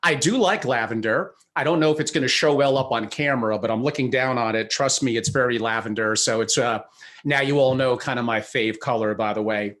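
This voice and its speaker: A man's voice: medium pitch, brisk, a bit bright. Chatty male podcast host. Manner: chatty, gives long disclaimers. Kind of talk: interview introduction.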